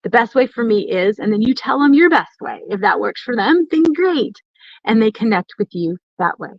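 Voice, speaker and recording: A woman's voice: fairly high pitch, animated, normal speed, gravelly, no echo, highs cut off. A woman hosting a live stream, coaching viewers in an emphatic, encouraging, instructive way and addressing them directly. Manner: emphatic, repeats phrases for stress, addresses listeners directly. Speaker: host of a networking community's live stream.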